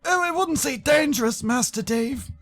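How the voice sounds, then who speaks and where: A very high-voiced, courteous butler played by a man from a visual novel. He speaks in a level, measured manner, and his politeness hides a menace. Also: animated, fairly bright tone. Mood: politely menacing.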